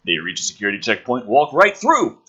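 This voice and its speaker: A man's voice: mid-range voice, huge pitch swings, fairly quick, bright, forceful tone, slightly rough, dull audio. A man reading a film script aloud theatrically and riffing on it with sarcastic, sweary commentary.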